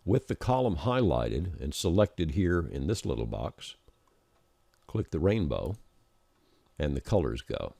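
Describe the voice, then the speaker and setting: A man with a deep, mellow voice walks through software steps as an instructor. His intonation moves around a good deal while he explains each click in an informal, practical way. It is a screencast-style voice-over. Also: very animated, measured pace, vocal fry, long pauses, slightly rough, no room sound. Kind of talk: informal step-by-step screencast narration.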